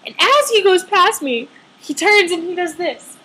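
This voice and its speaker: A woman's voice: very high voice, lively intonation, a little slow, pushed and bright, low-quality recording. A woman telling a personal story casually, dramatically acting out dialogue with a sarcastic edge.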